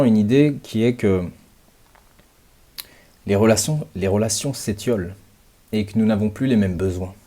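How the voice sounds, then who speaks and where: A man in his late thirties giving a reflective, measured monologue to his viewers, pausing often and stretching out words. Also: fairly low pitch, dark tone. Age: 30s. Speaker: channel host.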